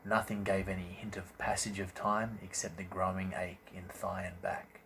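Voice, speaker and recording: Male fantasy audiobook reader, deep register, theatrical and deliberate delivery, amateur recording with slight background noise. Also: completely flat delivery, vocal fry, pushed and bright. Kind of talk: dramatic scripted narration of a fantasy novel.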